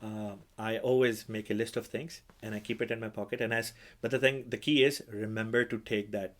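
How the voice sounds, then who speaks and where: Low-voiced host, native Urdu speaker. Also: male voice, steady tone. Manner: measured, reverent, with religious phrases. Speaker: podcast host and imam. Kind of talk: conversational interview.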